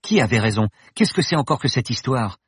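A man voicing an alarmed, sharp-tongued young woman. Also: expressive, a little fast, breathy edge, no room sound, studio quality. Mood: anxious. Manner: alarmed, protesting, shrill.

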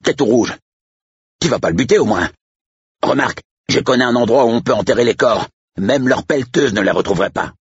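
An excitable, joking sidekick, performed by a male narrator in an audiobook. He sounds nervous and chatty, his voice pushed far up from the narrator's deep register, with a husky edge. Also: fast talker, no room sound, highs cut off. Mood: anxious, joking. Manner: anxious, comically chatty.

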